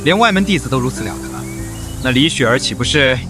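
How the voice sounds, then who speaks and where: An actor playing a hapless young man mistaken for a great master in an animated drama, exclamatory and theatrical. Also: mid-range voice, fast, close to an everyday voice. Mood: awed, cheerful. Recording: music behind the voice.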